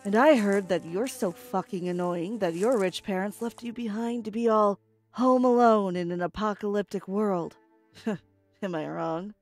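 Woman playing a blunt mid-pitched pilot. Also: unhurried, a little mellow, soft background music, close to an everyday voice. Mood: defiant. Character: blunt, protective, sharp-tongued female survivor and pilot. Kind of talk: audio drama acting.

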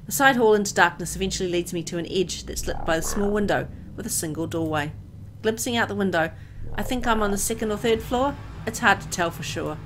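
A woman reading a horror visual novel aloud as dramatic, expressive narration, as host of a gameplay video. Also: medium pitch.

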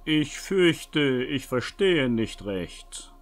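A man's voice: mid-range voice, sing-song, very slow, soft background music, exaggerated voice. A man acting a polite, composed young nobleman with formal, diplomatic speech in a fantasy audio drama. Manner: courteous, smooth-talking, formal. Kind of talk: fan-made German fantasy audio drama.

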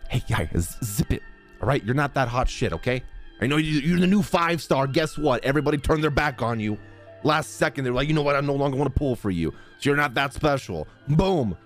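Sassy, animated male game streamer. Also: medium pitch, brisk. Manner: sassy, slangy, playful. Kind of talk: casual live reaction commentary. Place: indoor streaming room. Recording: game music behind the voice.

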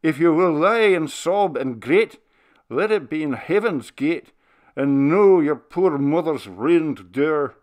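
A man's voice: fairly high pitch, big pitch swings, slow, pitched above the performer's own voice. A man voicing an old minister who pleads with a ghost, his voice sobbing and commanding by turns. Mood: tender.

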